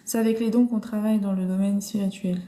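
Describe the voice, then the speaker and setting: A woman's voice: medium pitch, fairly even intonation, fast, warm tone. Woman musing aloud, roomy phone live. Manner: thinks aloud with many restarts and fillers. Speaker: live stream host. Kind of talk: casual live-stream Bible discussion. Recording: roomy echo.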